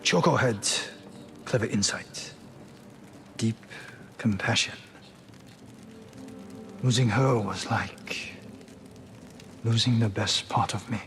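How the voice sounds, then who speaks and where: An actor playing a stern, grieving samurai lord and father, speaking formally and with measured weight in a video game drama. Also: lowish voice, a bit bright, soft background music. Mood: tender, grieving.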